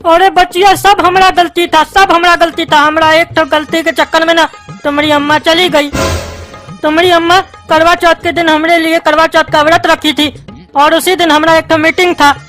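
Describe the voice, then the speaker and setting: A woman's voice: very high-pitched, fairly bright tone. A woman voicing a sentimental, doting widowed father in a comic cartoon, with a Bihari accent and a plaintive, folksy delivery. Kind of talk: cartoon skit dialogue. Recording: music behind the voice.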